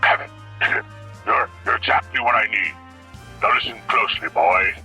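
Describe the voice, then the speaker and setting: A blustering president in an audio drama, with a very sharp, gravelly bark. He orders people about pompously and fumbles as he goes. Faint music sits behind him. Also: mid-range voice, heavily acted. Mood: commanding. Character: blustering, bossy male president on the telephone.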